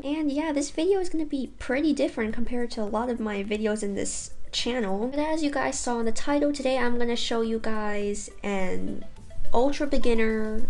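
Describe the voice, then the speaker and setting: A teenage girl with a fairly high voice and a gravelly edge talks casually to her webcam, explaining a beginner workout. She is getting over a cold and keeps saying "you guys". Soft music plays faintly underneath. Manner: chatty, says "you guys" often, light laughs. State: recovering from a cold. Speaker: figure skating vlogger. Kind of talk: casual tutorial talk to camera. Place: carpeted basement room at home.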